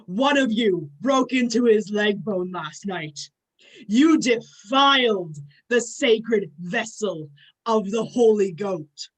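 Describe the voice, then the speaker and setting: Slow-spoken, proud thunder god, played by a woman, solemn, pompous and threatening, for a myth play. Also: mid-range voice, expressive, husky, rough recording. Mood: grave, menacing. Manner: pompous, declamatory. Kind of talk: amateur dramatic reading of a myth.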